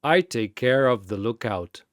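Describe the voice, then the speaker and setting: Man playing a slow, eerily patient immortal being. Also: mid-range voice, no room sound, clean studio sound, natural voice. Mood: serene, unhurried. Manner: calm, unhurried, terse. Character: Mister Popo, patient unsettling smiling immortal being.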